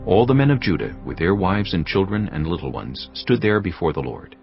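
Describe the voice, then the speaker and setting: A man narrating scripture in a measured, expressive storytelling voice. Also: low voice. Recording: slightly muffled recording with faint music.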